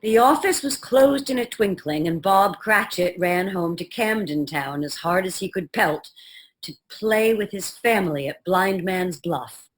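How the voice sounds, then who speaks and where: A woman's voice: fairly low pitch, expressive, a little slow, slight background noise. A woman playing the storyteller of a radio play, reading scripted narration of an old-fashioned tale. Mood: measured storytelling.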